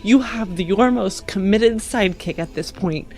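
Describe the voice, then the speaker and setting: A woman improvising in character and narrating as a player in a tabletop game, earnest and halting. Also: mid-range voice, darkish tone, soft background music.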